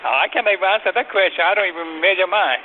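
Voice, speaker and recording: A man with a Japanese accent, a native Japanese speaker, answering questions politely in halting English and apologizing often. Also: sits high. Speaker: store customer answering a survey. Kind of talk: phone conversation. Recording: tinny phone line, faint noise.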